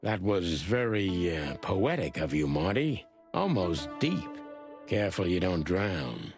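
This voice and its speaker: An actor playing a polite, wry bird detective in a film-noir game, with a courteous way of talking. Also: male voice, low-pitched, sing-song, takes it slowly, over music, heavily acted.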